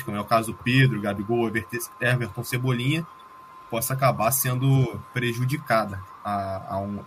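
Measured, low-voiced man. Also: a little hiss or hum. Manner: measured, analytical, with hesitations. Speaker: podcast guest. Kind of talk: casual podcast chat.

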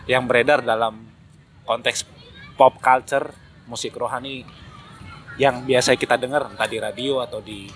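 Emphatic man with a bright voice. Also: medium pitch, steady tone, moderate pace, long pauses. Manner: emphatic, critical, repeats phrases for emphasis. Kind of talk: casual opinionated discussion. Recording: noticeable background noise.

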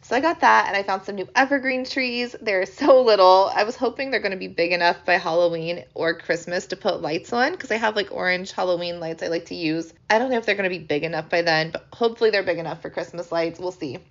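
A woman in her thirties from the American Midwest hosting a podcast in casual chat, chatty and animated, her voice hoarse from a sinus infection. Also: lowish voice, fast, few pauses. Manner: chatty, animated, laughs easily. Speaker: podcast host. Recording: slightly dull lo-fi recording.

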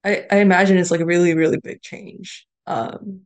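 A woman's voice: lowish voice, steady tone, moderate pace. A woman hosting a podcast in an easygoing way, asking guests open questions. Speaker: podcast host. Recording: music playing behind.

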